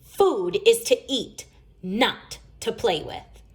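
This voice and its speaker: A woman's voice: mid-range voice, expressive, takes it slowly, pitched above the performer's own voice. A woman voicing a stern, easily annoyed mother bear in a children's storybook, scolding firmly and emphatically. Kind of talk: children's storybook read-aloud.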